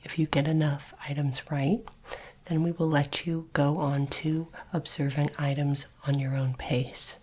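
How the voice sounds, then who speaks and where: A woman voicing a polite, formal alien artifact appraiser in a soft breathy whisper, speaking slowly and precisely as an ASMR roleplay. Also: low voice, slight background noise. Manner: slow, measured, formal, precise diction.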